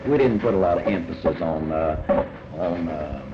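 An older man speaking reflectively in an interview about his life, halting and often repeating words as he rephrases. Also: sits low. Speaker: interviewee recalling early life. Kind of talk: reflective oral history interview. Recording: muffled, noisy, echoey room recording.

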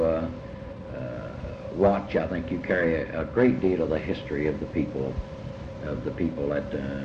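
A man with a low-pitched voice and an Appalachian American accent speaks in a reflective interview. He is deliberate and halting, circling back over his phrases. The recording is muffled and roomy, with strong background noise. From Ohio River and Big Sandy region of Appalachia, USA. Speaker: writer being interviewed. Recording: muffled, echoey, noisy old interview tape.

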